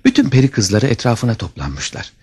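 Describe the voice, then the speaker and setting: A man's voice: medium pitch, huge pitch swings, a little fast, darkish tone, husky. A man narrating a scripted fairy tale as a storyteller, with dramatic expression.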